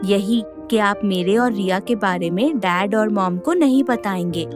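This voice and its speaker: A woman's voice: medium pitch, unhurried, music in the background, close to an everyday voice. A woman playing a clever, polite and guarded little boy in a Hindi audio drama. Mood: earnest, insistent.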